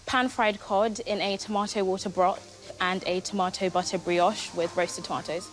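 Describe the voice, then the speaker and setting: A woman's voice: medium pitch, steady tone, normal speed, music in the background. A woman in her late teens from Zimbabwe, a cooking contestant talking casually about her family and her dish. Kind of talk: casual interview.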